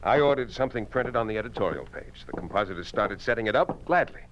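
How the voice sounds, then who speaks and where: A man's voice: lowish voice, animated, fast, background noise, close to an everyday voice. An actor playing a stern, principled newspaper boss in a 1950s radio drama, commanding and pointed in his questions, on a muffled old recording. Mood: controlled indignation.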